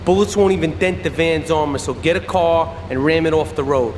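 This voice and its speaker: A cocky young New mobster, acted by a man for a game cutscene, bossy and slangy. His voice sits a little high and rings through a long reverb over vehicle noise. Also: a little fast, lightly acted. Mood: businesslike. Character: cocky young Italian-American mobster mechanic handing out jobs. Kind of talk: video game cutscene acting. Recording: echoing hall, street and vehicle noise.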